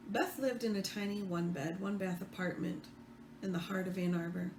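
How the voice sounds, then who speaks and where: A woman reading fiction aloud as the author, expressive, with the odd stumble. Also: mid-range voice, normal speed. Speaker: author reading own book. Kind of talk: read-aloud fiction narration. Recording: roomy echo, faint background noise.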